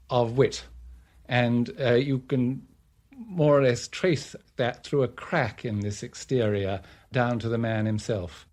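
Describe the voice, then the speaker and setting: A man giving considered literary commentary in an interview, thoughtful and halting. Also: mid-range voice, darkish tone.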